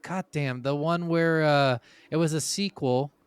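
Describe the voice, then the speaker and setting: A man's voice: fairly high pitch, measured pace, clear, resonant tone. A man hosting a casual gaming podcast, blunt and informal, swearing freely. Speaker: podcast host.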